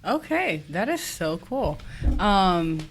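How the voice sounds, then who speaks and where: A woman hosting a podcast, in a casual interview chat, friendly, quick to laugh, with plenty of "um". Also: medium pitch, very animated, slight background noise. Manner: friendly, laughs often, many fillers. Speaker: podcast host.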